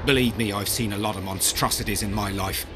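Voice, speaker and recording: An actor playing a grim, embittered, battle-hardened soldier in a video game, speaking gravely as if haunted. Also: male voice, fairly low pitch, fairly even intonation, a little fast, natural voice. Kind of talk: video game dialogue acting. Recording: clear ambient noise behind voice.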